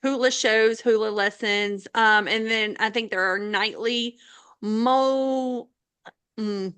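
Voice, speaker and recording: A woman's voice: fairly high pitch, fairly even intonation, takes it slowly, a bit bright, clear, resonant tone. A woman co-hosting a travel podcast in an informal way, often prompting and chiming in. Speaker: podcast co-host. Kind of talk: casual podcast chat about travel.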